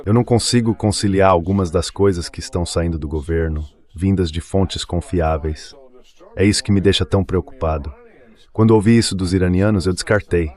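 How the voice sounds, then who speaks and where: Low-pitched synthetic male commentator. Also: a little fast, a little mellow. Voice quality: synthetic AI voice-over. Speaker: political commentator in a played clip. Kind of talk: dubbed translation of commentary.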